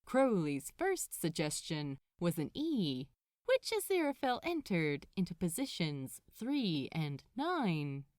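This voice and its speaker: A woman reading scripted fiction aloud as an expressive storyteller. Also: on the low side, slow pace, no echo, clean studio sound. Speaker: podfic narrator.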